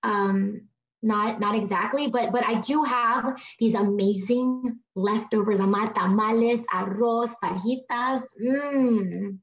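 The sound is a dull video call. an exasperated young artist daughter in a comedy script, pleading and defensive, in a fairly high voice. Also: female voice, slow pace. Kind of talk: live table read of a TV comedy script.

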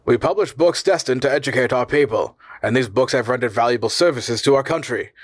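A man acts out a courteous, learned male professor in a storybook reading, proud of his country. He speaks formally and earnestly, pitched a little above a middle male voice, in a dry recording. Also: a little fast, no room sound, close to an everyday voice.

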